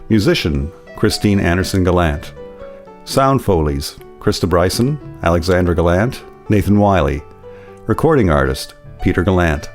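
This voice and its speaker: A man narrating a scripted radio drama as storyteller. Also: low voice.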